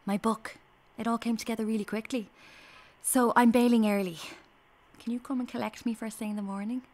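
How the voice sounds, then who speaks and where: A woman's voice: fairly high pitch, level tone, brisk, a little mellow, close to an everyday voice. An actress playing a restless would-be writer in her twenties with an Irish accent, confiding drily in a radio drama. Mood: pleading, evasive. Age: 20s. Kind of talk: radio drama, first-person confiding narration and dialogue.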